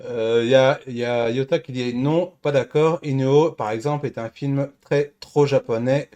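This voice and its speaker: A man hosting a casual live show, speaking French in a halting way with many repeats and restarts. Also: medium pitch, darkish tone. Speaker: show host.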